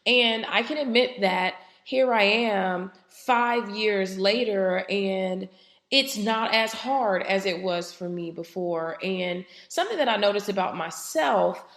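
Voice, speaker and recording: A woman hosts her own podcast and talks reflectively, with a voice that is slightly raspy from a head cold. Her pitch sits in a middle range, and she speaks casually, pausing often on "um." The sound is roomy and echoey. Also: fairly bright tone. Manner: conversational, frequent "um" fillers. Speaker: podcast host. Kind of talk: reflective solo podcast talk.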